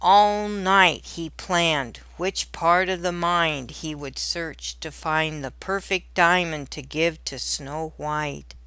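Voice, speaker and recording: A woman narrating a children's storybook aloud in a deliberate, expressive storytelling manner. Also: sits low, smooth, no room sound.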